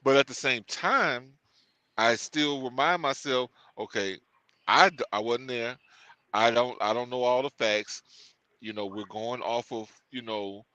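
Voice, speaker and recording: A man's voice: mid-range voice, sharp tone, no room sound, rough recording. A man co-hosting a casual talk show, rambling with many fillers and restarts as he works out his view. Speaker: podcast co-host. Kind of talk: casual discussion.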